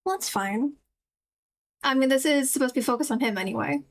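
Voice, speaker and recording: A woman's voice: high voice, brisk, slight background noise. A woman streamer giving casual, chatty, musing commentary on a game with its music behind her.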